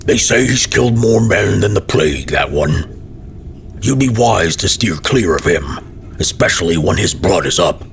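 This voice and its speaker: Gruff innkeeper voiced by a man: deep, rough with fry, stern, unbending, fantasy storybook, some background noise. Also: animated, moderate pace. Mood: warning. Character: stern, gruff male tavern keeper, unyielding.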